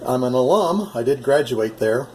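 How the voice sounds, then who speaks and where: A middle-aged American man talks in a casual ham radio conversation. His delivery is measured and halting as he explains things at a mid-range pitch. Faint noise sits behind his voice. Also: expressive, darkish tone, rough recording. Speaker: amateur radio operator.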